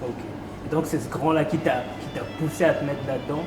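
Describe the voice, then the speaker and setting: A man's voice: on the high side, steady tone, a little fast. A man hosting a casual interview, asking rambling questions that he repeats and rephrases. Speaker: interviewer, channel host. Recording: roomy echo, music underneath.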